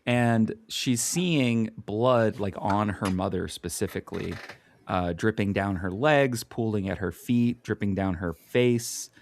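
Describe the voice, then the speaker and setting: A man co-hosting a podcast, chatting casually and thinking aloud in a halting way. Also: fairly low pitch, a little mellow. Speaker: podcast co-host. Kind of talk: casual comic book discussion.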